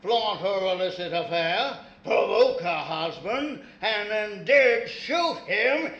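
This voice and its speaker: An actor playing a stern, accusing prosecutor in a 1950s radio courtroom melodrama. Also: male voice, high-pitched, animated, takes it slowly, fairly bright tone, echoey room, highs cut off, exaggerated voice. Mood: accusing, forceful.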